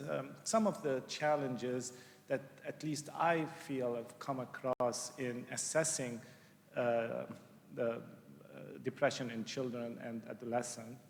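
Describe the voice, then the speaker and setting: A middle-aged man from Lebanon gives an unscripted lecture in a large conference hall, his mid-pitched voice trailing off into a long reverb. He hesitates often, filling gaps with "uh" and restarting sentences, and clears his throat now and then. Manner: hesitant, many uhs and restarts. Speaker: child psychiatrist giving a conference lecture.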